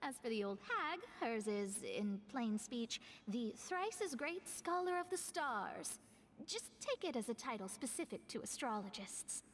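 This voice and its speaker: High-voiced, proud young astrologist. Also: female voice, very animated, a little slow, slight background noise. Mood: proud, lecturing. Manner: articulate, lecturing, self-assured. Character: proud, wordy young female astrologist, smart and slightly haughty. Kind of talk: video game voice acting.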